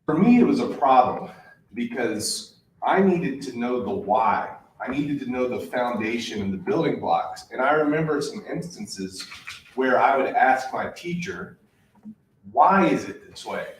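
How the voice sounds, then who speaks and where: A man presenting a live workshop to a room, frank and energetic, asking rhetorical questions. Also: mid-range voice, unhurried. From Virginia, US. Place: meeting room at a live event. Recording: echoey room from a distance, faint hiss.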